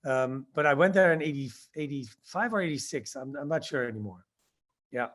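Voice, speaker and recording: A man in his sixties, born in Indonesia, answering interview questions about his past and recalling it haltingly with many fillers. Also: a little high, animated, fairly bright tone. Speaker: guest, skydiving instructor.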